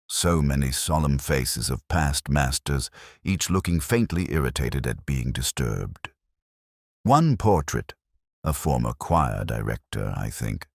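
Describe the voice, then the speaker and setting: A man narrating a scripted first-person detective story in a measured, solemn, literary manner. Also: rumbling low, vocal fry, no room sound, studio quality.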